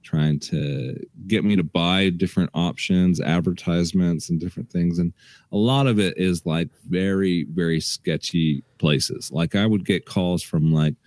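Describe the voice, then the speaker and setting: A young man co-hosting a casual podcast, relaxed and rambling, often restarting his sentences. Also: low voice, unhurried, dry recording, clean studio sound. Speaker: podcast co-host. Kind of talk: casual chat.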